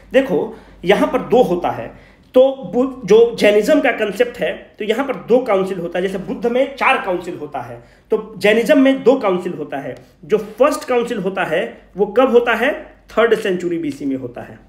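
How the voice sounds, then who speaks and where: A man's voice: high voice, animated, moderate pace. A man with a Bihari Hindi accent lecturing informally as an exam coach, emphatically asking questions, answering them himself and repeating key points in colloquial speech. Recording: echoey room.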